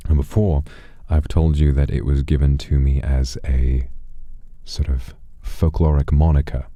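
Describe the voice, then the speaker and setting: Very deep, dark-voiced man telling a story. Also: level tone, dry recording. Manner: theatrical, deliberate phrasing with dramatic pauses. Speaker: streamer telling a story in persona as a demon. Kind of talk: slow atmospheric first-person storytelling to a livestream audience.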